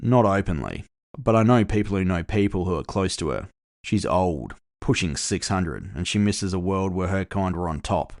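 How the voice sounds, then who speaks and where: A man playing a frank, worldly male friend with a low-key, sardonic, matter-of-fact manner in cyberpunk audio fiction. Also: expressive, normal speed, darkish tone, no echo, studio quality. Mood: grave, wary.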